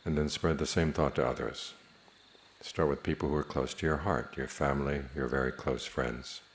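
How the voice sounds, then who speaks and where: A man teaching a guided meditation in a measured, plain-spoken manner, leaving long pauses between phrases. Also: extremely low pitch, fairly even intonation.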